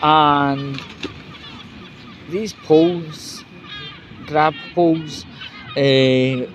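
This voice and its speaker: Scottish guy chatting away on a walk, kinda high voice, swears loads, stop-start talk, traffic noise all round. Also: fairly even intonation. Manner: sweary, irreverent, halting delivery with pauses. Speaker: vlogger. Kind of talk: rambling casual walking vlog, chatty. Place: outdoors on a city street. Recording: noisy outdoor street with traffic.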